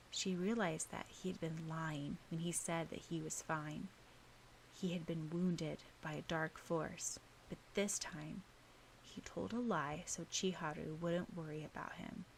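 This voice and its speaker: A woman's voice: fairly low pitch, creaky, rough recording. A woman reading scripted fiction as an audiobook narrator, with some noise in the recording.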